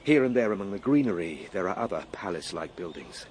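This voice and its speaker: An actor playing an earnest, excitable Victorian time traveller in a radio play, speaking in a formal, declamatory way. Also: male voice, low-pitched, quick pace, a little hiss or hum. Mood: curious, observant. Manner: declamatory, formal, spoken as a live log. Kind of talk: dramatised radio play monologue.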